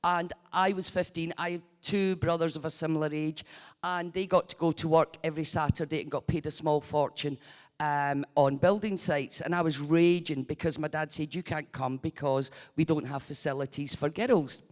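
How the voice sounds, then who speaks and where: Animated woman telling a story in a hall. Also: low-pitched, moderate pace. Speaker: panelist. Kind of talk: casual anecdote. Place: large hall at a festival. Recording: reverberant hall.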